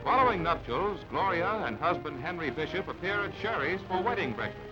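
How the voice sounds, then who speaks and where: A man with a notably high voice announces newsreel items from a script. He sounds formal and declamatory. The old recording is dull and narrow, with music running underneath. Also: lively intonation, fairly quick. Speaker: newsreel narrator. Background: background music.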